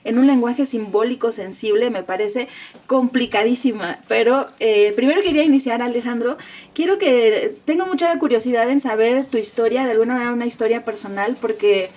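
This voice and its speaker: A woman's voice: fairly high pitch, brisk, darkish tone. A woman co-hosting a tarot channel interview, talking enthusiastically and effusively.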